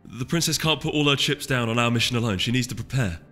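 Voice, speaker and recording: A man's voice: medium pitch, fast, bright, forceful tone, lightly acted. A man voicing an amiable young male winged unicorn in a storybook reading, polite, placating and hesitant. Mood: apologetic. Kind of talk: audiobook character voice. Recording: echoey hall reverb, music behind.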